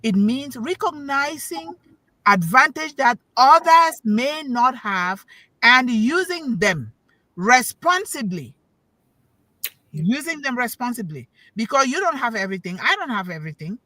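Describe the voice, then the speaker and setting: A woman's voice: a little high, big pitch swings, unhurried, pushed and bright, no echo, poor audio. Emphatic woman giving a preachy talk. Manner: emphatic, preachy, repeats key words for stress; asks the listeners questions. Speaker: panel speaker on an online talk show. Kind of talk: motivational talk.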